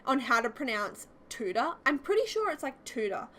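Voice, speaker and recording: Young aussie woman yapping over a sims build, kinda high voice, loads of likes and ums. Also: sing-song, moderate pace, sharp tone. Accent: Australian. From Australia. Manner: rambling, chatty, many fillers like "like" and "um". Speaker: YouTuber. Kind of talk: casual chat over a game speed build.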